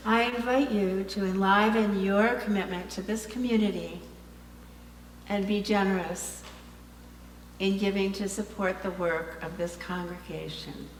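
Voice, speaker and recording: A woman leading a congregation service, reading a prepared offering announcement in measured, formal phrasing. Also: medium pitch. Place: large indoor congregation hall. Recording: distant, echoey hall, faint hum.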